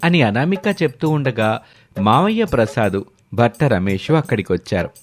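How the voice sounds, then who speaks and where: A man's voice: medium pitch, very animated, normal speed, faint music behind. A man narrating a scripted moral story as its storyteller.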